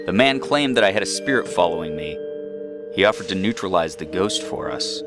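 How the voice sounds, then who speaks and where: A man's voice: sits low, lively intonation, fairly quick. A man reading a scripted first-person horror story as narrator. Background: eerie background music.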